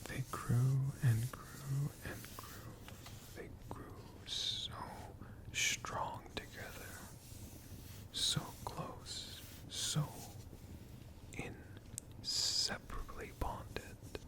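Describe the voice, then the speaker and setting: An ASMR storyteller whispering a tale in a hushed, lingering manner, pausing and repeating words. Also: mid-range voice, steady tone. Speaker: ASMR storyteller, channel host.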